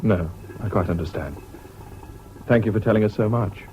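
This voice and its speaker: Mellow, mild English army officer played by an actor. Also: male voice, on the low side, moderate pace, lightly acted. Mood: subdued. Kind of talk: 1970s British radio drama acting. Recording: dull, noisy old radio recording.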